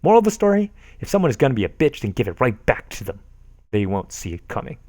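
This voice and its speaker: Male streamer reading forum posts, animated delivery, dry recording. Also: medium pitch, fast, no room sound. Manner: animated, sarcastic, laughs at what he reads.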